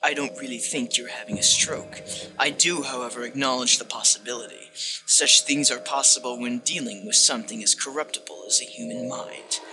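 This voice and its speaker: A man voicing a sinister, contemptuous disembodied game narrator, delivered in a condescending, theatrical and deliberate way. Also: mid-range voice, over music, lightly acted, low-quality recording. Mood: cold menace. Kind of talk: dramatic reading of a text-based game.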